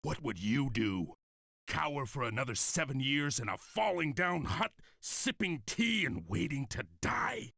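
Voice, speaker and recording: An actor playing a hot-headed samurai warrior with a forceful, urgent manner in a video game cutscene. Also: male voice, on the high side, huge pitch swings, unhurried, creaky, no echo, clean studio sound, full character voice. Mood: scornful anger.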